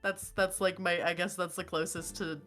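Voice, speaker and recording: A woman's voice: lowish voice, little pitch movement, moderate pace, fairly bright tone, faint music behind. A woman guesting on a casual stream chat, giggly, easygoing and self-deprecating.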